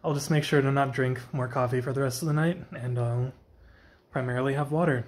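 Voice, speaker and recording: A man's voice: medium pitch, fairly even intonation, fairly quick. A young man chatting casually in a vlog, tired with a slightly scratchy voice, rambling and correcting himself as he goes. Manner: rambling, self-correcting, soft-spoken. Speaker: vlogger. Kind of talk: casual after-work vlog chat.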